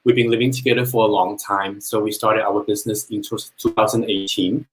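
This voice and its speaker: A man's voice: fairly low pitch, steady tone, fairly quick, low-quality recording. A man from China, speaking English as a second language, telling his personal business story on a live webinar in an earnest, plain-spoken way. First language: Chinese.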